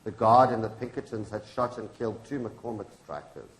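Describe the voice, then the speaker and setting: In an echoey room, a man gives voice to a grieving widow in a staged political drama. The voice is low, and the delivery is solemn, rhetorical and defiant, pressing each point like an accusation. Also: fairly quick, a little hiss or hum, natural voice. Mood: grieving, bitter. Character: grieving, defiant widow of a hanged labor activist. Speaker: professor reading a play. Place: indoor launch event venue.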